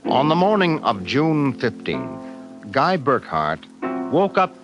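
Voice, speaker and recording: Grave radio-play storyteller, very wide swings in pitch, muffled vintage sound over music. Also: male voice, huge pitch swings, takes it slowly, heavily acted. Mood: grave, suspenseful. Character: grave storytelling narrator of a suspenseful sci-fi tale. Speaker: narrator. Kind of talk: 1950s radio drama narration. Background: dramatic music.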